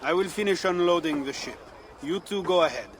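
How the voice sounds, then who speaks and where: Steady Viking shipmate played by an actor. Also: male voice, a little high, brisk, slight background noise, natural voice. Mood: calm. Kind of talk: video game cutscene acting.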